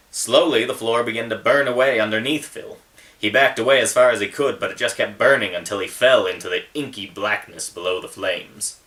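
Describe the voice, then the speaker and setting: Bright-voiced man, recorded at a distance. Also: lowish voice. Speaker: author reading from own book. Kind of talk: casual book intro, then narrated reading.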